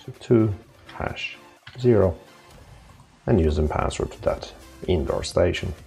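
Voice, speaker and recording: A man presenting an informal, unscripted software tutorial, explaining step by step in a halting way. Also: deep voice, animated, vocal fry, soft background music.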